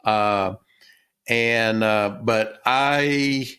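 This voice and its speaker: An older man with a Texan accent, an author and former business owner, answering interview questions in a halting, folksy way with many fillers. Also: lowish voice, pushed and bright, clear, resonant tone. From Texas.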